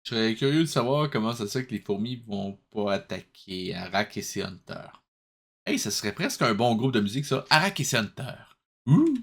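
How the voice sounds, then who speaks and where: A man's voice: lowish voice, sing-song, moderate pace, a bit bright, husky. Sarcastic Quebec man giving casual commentary. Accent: Quebec French. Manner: sarcastic, analytical, jokey. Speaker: host of a comedic review show.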